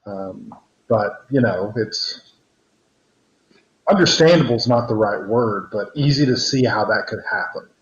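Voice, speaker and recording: Male podcast co-host, mid-pitched, rambling delivery, echoey muffled call audio. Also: expressive, measured pace. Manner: rambling, many restarts and fillers. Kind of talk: casual discussion over a call. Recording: roomy, dull lo-fi call audio.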